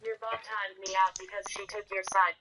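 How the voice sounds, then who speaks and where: A text-to-speech voice reading out viewer chat messages in a flat, synthetic way. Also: medium pitch, a little fast. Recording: noticeable background noise.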